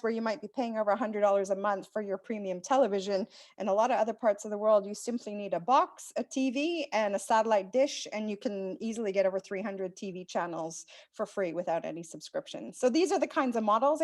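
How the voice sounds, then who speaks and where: A woman from Canada speaking on a video-call panel, explaining fluently with frequent fillers. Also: on the high side. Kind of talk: panel discussion.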